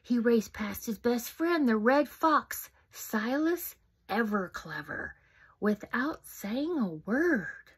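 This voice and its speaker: Fifties woman reading a children's book to camera at home; slow, expressive, slightly husky. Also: a little high. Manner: animated, with whispered asides. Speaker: storybook reader. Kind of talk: reading a picture book aloud. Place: home room indoors. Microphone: phone camera close up.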